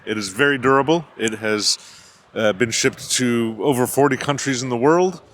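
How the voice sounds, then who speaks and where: A man from the United States, speaking as a company founder at a mid-range pitch, walks through the details of a camera product. He explains in a steady, patient way with plenty of "uh" fillers. Faint outdoor noise sits behind him. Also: moderate pace. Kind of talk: technical product interview.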